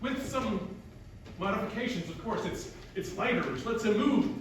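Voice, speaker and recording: A man's voice: sits high, moderate pace, natural voice. An actor playing an eager, earnest male playwright who rambles and gets defensive in theatrical comic dialogue. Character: eager male playwright William pitching his earnest tragic play. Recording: roomy echo, distant mic, faint noise.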